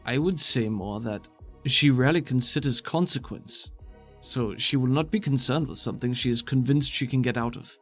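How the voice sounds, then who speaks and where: A man voicing a skeptical, wary female plant-being scientist in a formal, measured way for a tabletop game. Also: medium pitch, faint music behind, natural voice. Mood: cautious, measured. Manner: formal, measured, avoids contractions.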